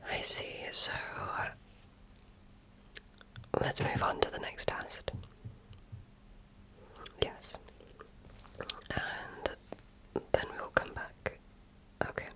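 Woman, breathy whisper, unhurried pace, gentle and precise, reassuring, ASMR medical exam roleplay. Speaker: ASMR performer playing a medical examiner. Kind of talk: ASMR roleplay, soft-spoken personal attention.

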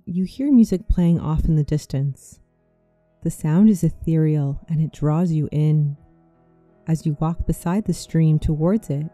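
A meditation narrator reads a scripted guided visualization. The voice is deeply mellow and warm, with a soothing, measured delivery. It sounds dry and intimate, with music playing gently behind it. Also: no echo.

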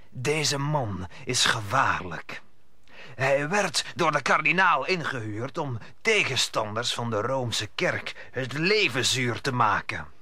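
An actor playing a grave, authoritative captain of musketeers in an audio drama. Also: male voice, medium pitch, sharp tone, dry recording, exaggerated voice. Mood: grave warning.

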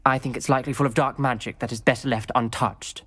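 A man's voice: medium pitch, fairly even intonation, fast talker, fairly bright tone, slightly rough. A man playing a troubled, principled teenage schoolboy wizard in a video game, speaking with a British accent in a formal, earnest way. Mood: grave, wary. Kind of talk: dramatic video game voice acting.

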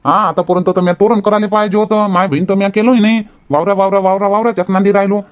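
A man's voice: high voice, fairly even intonation, racing, smooth, lightly acted. A man voicing a grumbling village farmer and family man in a cartoon comedy, with a rural Vidarbha Marathi accent. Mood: harried.

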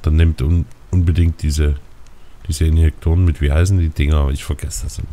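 Man, low dark voice, relaxed let's-play co-host, slight stuffy nose. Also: fairly quick, a little hiss or hum. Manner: relaxed, talks to viewers. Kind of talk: casual gaming chat.

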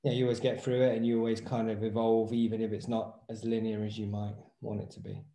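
Male, 30s, interview guest and fitness trainer. Low, mellow voice, reflective explanatory delivery. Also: little pitch movement, fast. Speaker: interview guest, personal trainer and movement therapist. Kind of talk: casual interview talk. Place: small indoor room at home, video call. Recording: roomy echo on voice.

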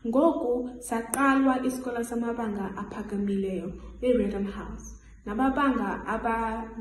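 A teenage South African schoolgirl giving a steady, prepared presentation about her school, recorded indoors on a phone or webcam. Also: on the high side, roomy sound, low-quality recording. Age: teens. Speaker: student presenter.

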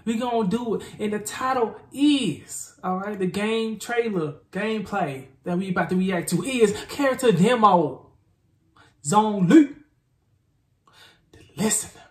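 A man's voice: high-pitched, measured pace, reverberant. A young man with a Southern US accent chatting casually and slangily, playfully repeating himself as he co-hosts a reaction video. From southern United States. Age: young adult. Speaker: co-host of a reaction channel. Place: small indoor room with plain wall.

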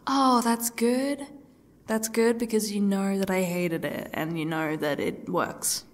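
A woman playing a sarcastic, defiant captive in an ASMR roleplay, swearing and slipping into breathy half-whispers. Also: mid-range voice, fairly even intonation, takes it slowly, lightly acted. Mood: sarcastic, angry.

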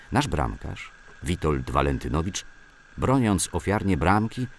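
A man reading scripted memoirs as a narrator, in a measured storytelling manner. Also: very deep voice, creaky, a little hiss or hum.

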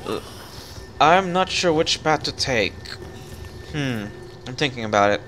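A man's voice: medium pitch, lively intonation, a little slow, a bit bright, faint music behind, poor audio. A man chatting casually through co-op gameplay as he leads, jokey and often thinking aloud. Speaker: gamer leading a co-op session.

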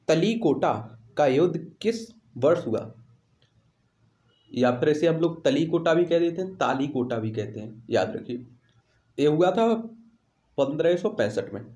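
A man's voice: fairly high pitch, lively intonation, moderate pace, long pauses, mellow, echoey room. An Indian man teaching an online exam lesson in question-and-answer style, repeating questions and answers in an instructional way.

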